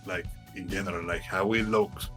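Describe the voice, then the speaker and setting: A man's voice: deep voice, fairly even intonation, normal speed, fairly bright tone, soft background music. A man speaking in casual conversation as a co-host on a chat show.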